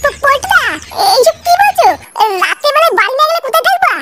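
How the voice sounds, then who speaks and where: Squeaky, cheeky cartoon sidekick. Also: big pitch swings, fast talker, heavy background noise. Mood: teasing. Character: cheeky, teasing young club buddy, crude and mischievous. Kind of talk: comic cartoon dub.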